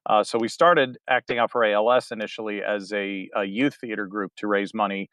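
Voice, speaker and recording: A middle-aged man giving a rambling, halting interview answer, full of fillers and restarts. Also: fairly low pitch, fairly even intonation, fairly bright tone, no room sound. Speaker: interview guest, theater director. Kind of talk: casual interview talk.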